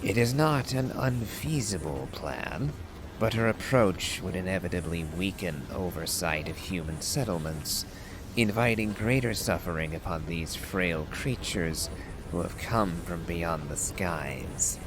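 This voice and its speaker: Man reading as a slow, solemn ancient dragon lord. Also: low-pitched, noisy background. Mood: cold, imperious. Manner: formal, solemn, declamatory. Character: proud, stern ancient dragon lord disdainful of humans. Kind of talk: dramatic reading of game lore.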